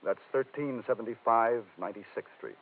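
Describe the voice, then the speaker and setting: A man's voice: mid-range voice, a little fast, lightly acted. An American man playing an anxious, earnest defense attorney in a 1950s radio drama, urgent and defensive in manner. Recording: tinny, hissy 1950s radio recording.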